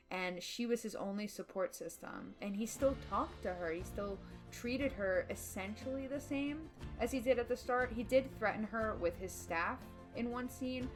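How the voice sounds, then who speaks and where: A woman delivering an opinionated film video essay, emphatic and dramatic, with casual slang slipping in. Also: medium pitch. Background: background music.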